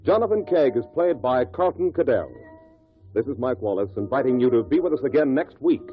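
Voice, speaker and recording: A man's voice: mid-range voice, big pitch swings, fairly quick. A man with an American accent announcing sponsor messages and credits in scripted 1950s radio style. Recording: muffled old radio recording with music.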